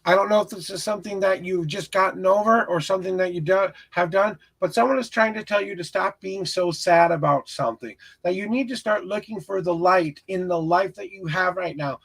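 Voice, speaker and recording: A man hosting a live tarot reading in a notably high voice with a gravelly, crackly edge. His tone is husky and raspy. He talks in an earnest, encouraging, meandering way, offering casual spiritual guidance to a viewer. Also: brisk, hardly any pauses, a bit bright. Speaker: tarot reader, live stream host.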